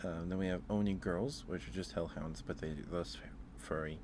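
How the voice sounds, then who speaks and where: A man streaming casual ASMR chat, talking haltingly, often repeating words and trailing off. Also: low voice, fairly even intonation, background noise. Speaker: streamer hosting a ranking.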